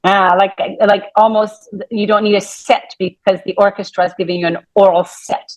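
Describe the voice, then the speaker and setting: Woman hosting an opera chat, medium pitch, keeps interrupting herself, wandering questions. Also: level tone, normal speed. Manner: meandering, self-interrupting. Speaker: moderator from an opera company. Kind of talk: conversational interviewing.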